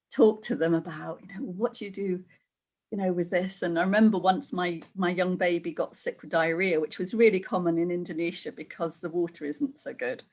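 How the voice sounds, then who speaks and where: A middle-aged woman from Wales, a guest who chats casually, laughs often and acts out the voices she quotes. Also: on the low side. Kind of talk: casual conversational interview.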